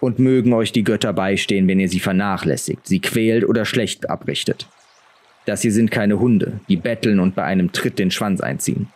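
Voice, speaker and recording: A man voicing a stern, grave middle-aged lord and father in a fantasy audiobook, measured and commanding. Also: on the low side, a little mellow, close to an everyday voice.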